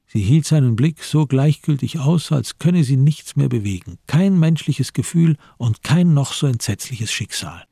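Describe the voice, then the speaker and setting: An actor as the grave narrator of a crime radio play, reading scripted prose in a gravelly, husky voice. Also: male voice, medium pitch, lively intonation, measured pace, hardly any pauses, mellow, no echo, clean studio sound, exaggerated voice.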